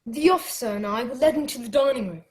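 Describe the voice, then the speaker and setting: A woman's voice: a little high, fast talker, lightly acted. A woman playing an earnest, respectful boy who narrates his adventure in a radio drama. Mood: grave recounting. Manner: earnest, careful storytelling.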